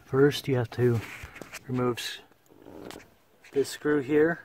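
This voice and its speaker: Slow-talking man explaining a car repair. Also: mid-range voice, steady tone, a little mellow, slight background noise. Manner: plain, unhurried, talks while working with tools. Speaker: car repair how-to presenter. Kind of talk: casual step-by-step repair demonstration. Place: inside a car, under the dashboard.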